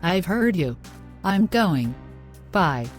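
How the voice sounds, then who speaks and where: A woman acting an earnest, lowish-voiced troubled young girlfriend. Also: very animated, slow, faint music behind. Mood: resigned. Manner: earnest, formal phrasing. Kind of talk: scripted moral-story dialogue.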